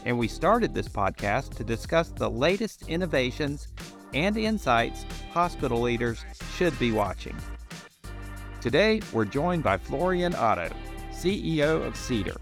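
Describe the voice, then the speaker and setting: A man's voice: mid-range voice, very animated, measured pace, a bit bright, faint music behind. A middle-aged man hosting a podcast interview, upbeat and complimentary as he brings in his guest. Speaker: podcast host, company CEO.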